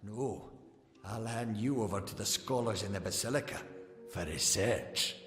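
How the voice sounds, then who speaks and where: An actor playing a smug, menacing gnome scholar villain in a fantasy game, with a condescending, taunting, theatrical manner. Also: male voice, fairly low pitch, normal speed, a bit bright, natural voice. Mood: mocking, threatening. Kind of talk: scripted fantasy game dialogue. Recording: echoey hall reverb, music behind.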